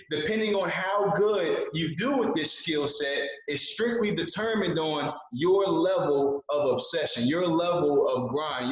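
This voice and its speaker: A man in his twenties giving an earnest motivational talk as a guest speaker on a video call, repeating key phrases for emphasis. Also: on the high side. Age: 20s. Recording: very echoey, dull video call audio.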